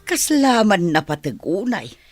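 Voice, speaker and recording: An actress playing a sharp-tongued, scornful woman with a husky voice. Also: medium pitch, big pitch swings, a little slow, faint music behind.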